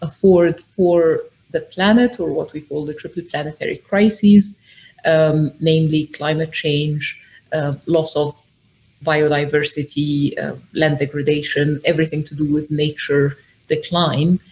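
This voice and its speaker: A middle-aged woman from Egypt, a podcast guest, explaining her work in a measured way with frequent ums. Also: deep voice, flat delivery, a little mellow. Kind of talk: casual interview talk.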